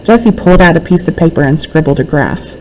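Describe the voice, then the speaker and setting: A woman's voice: sits low, expressive, fast, a little mellow, a little hiss or hum, low-quality recording. A woman reading a children's book aloud as a narrator. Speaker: read-aloud reader.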